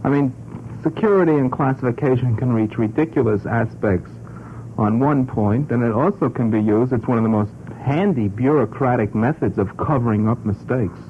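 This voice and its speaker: A man's voice: medium pitch, normal speed. An American man as a radio panel guest, commenting off the cuff in a formal but wry, hesitant manner on an old broadcast. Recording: narrow tinny vintage radio, faint noise.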